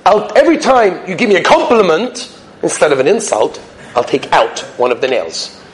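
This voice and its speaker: A man's voice: fairly high pitch, sing-song, moderate pace, slight background noise, poor audio. A man voicing a wife in a told parable, exclaiming and questioning her husband. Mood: contrite, eager. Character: critical wife turned contrite and helpful.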